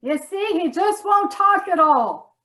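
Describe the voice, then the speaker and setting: Comedy sketch, actress as self-righteous, exasperated wife. High voice with big swoops, nagging and theatrical. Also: female voice, lively intonation, slow pace, fairly bright tone, full character voice, low-quality recording. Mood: exasperated, scornful. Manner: dramatic, exasperated, repeats herself.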